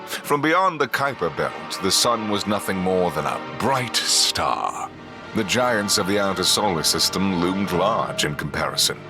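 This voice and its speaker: Male narrator for space horror drama: low-pitched, solemn, expressive range, music bed. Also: a little slow. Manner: ominous, solemn. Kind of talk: dramatic scripted sci-fi audio drama narration. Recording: loud music under the voice. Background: cinematic music.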